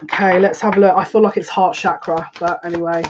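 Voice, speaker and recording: A woman's voice: fairly low pitch, fairly even intonation, fairly quick, dull audio, background noise. A woman hosting a live spiritual reading, speaking earnestly and confidingly, often repeating her phrases. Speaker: psychic livestream host.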